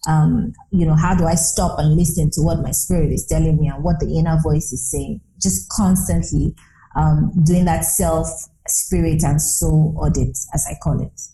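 Low, mellow woman in an echoey room. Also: steady tone, normal speed. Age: 30s. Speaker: interview guest. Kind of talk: reflective interview talk. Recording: roomy, echoing sound.

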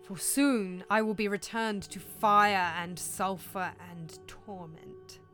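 A woman voicing the sad, tormented ghost of a dead king in a storybook reading, slow and solemn. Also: medium pitch, animated, a bit bright, soft background music. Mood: mournful.

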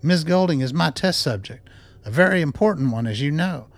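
An actor playing a research psychologist in a radio drama, polite, measured and quietly insistent as he reasons. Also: fairly high pitch, lively intonation, no echo. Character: measured, persuasive male doctor and research psychologist.

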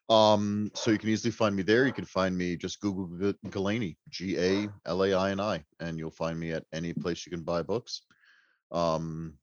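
A man's voice: low voice, no echo. A man chatting casually as a podcast guest, with a jokey, exclamatory way of talking. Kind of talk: casual chat. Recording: dull lo-fi audio.

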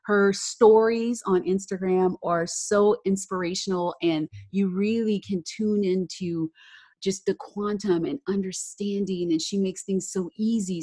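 Bubbly woman podcast host, slightly breathy. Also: medium pitch, dark tone. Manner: bubbly, effusive, giggly. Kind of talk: casual podcast chat and scripted outro.

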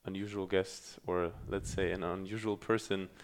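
A man from Germany hosting a podcast, speaking in a halting way with many pauses and restarts. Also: low voice, little pitch movement, a little hiss or hum. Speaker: podcast host. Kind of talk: casual podcast chat.

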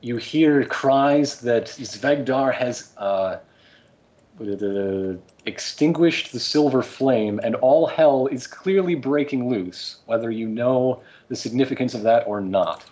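A man's voice: mid-range voice, slow pace, darkish tone. A man running a tabletop game as game master, describing scenes with many hesitant fillers. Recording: muffled lo-fi recording.

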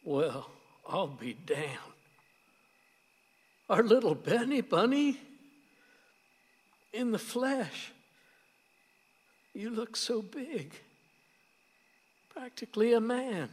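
A man's voice: high-pitched, sing-song, drawn-out words, pauses often, husky, big-room sound, full character voice. An actor playing a folksy, stubborn but tender old grandfather in a radio play. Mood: tender wonder.

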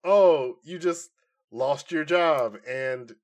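A man co-hosting a podcast in casual chat, informal and often halting as he thinks aloud. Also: fairly high pitch. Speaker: podcast co-host. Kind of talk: casual chat, pitching comic movie ideas.

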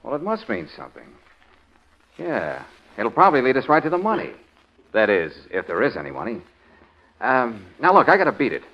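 An actor playing a brisk, tough but kindly private detective in a 1940s radio drama, asking clipped, informal questions. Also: male voice, mid-range voice, wildly swooping pitch. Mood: intent questioning. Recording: muffled vintage radio recording.

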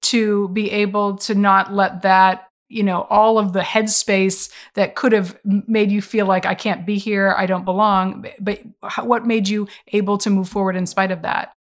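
A woman's voice: medium pitch, level tone, normal speed, few pauses. Affirming woman interviewer. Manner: warm, affirming, frequent agreeing murmurs. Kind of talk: interview conversation.

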